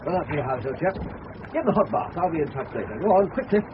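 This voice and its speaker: Authoritative kindly English gentleman, highish lively voice, noisy old broadcast. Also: fast, narrow, tinny audio. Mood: brisk, kindly. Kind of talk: 1970s radio drama acting.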